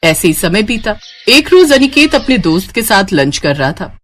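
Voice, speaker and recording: A woman's voice: mid-range voice, sing-song, normal speed. A woman reading scripted narration for a family story.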